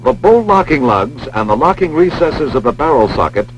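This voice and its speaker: An American man reading scripted instructional narration for a training film in a measured, precise, formal manner. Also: fairly high pitch, sing-song. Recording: dull lo-fi film soundtrack, humming noise.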